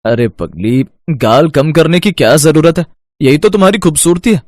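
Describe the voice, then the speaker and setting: A man voicing an affectionate young husband in a cartoon story, gentle and reassuring. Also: a little high, expressive, normal speed, dry recording, clean studio sound, lightly acted.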